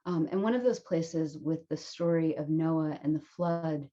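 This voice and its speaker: A woman's voice: deep voice, a little slow, mellow, dull audio. A woman poet speaking informally and earnestly, gracious in tone, as she introduces her reading as a guest.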